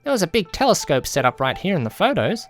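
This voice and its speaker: A man voicing an excitable young female detective with eager, surprised questions in an audiobook. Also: high voice, quick pace, faint music behind, pitched above the performer's own voice. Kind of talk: audiobook character dialogue.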